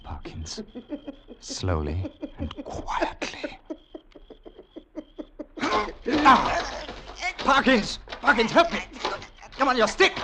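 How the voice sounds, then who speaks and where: An actor playing a tense English gentleman, formal and dramatic, in a 1940s radio drama. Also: on the high side, background noise, exaggerated voice. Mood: urgent. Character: tense English gentleman narrating his secret double life. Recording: old 1940s radio broadcast with music.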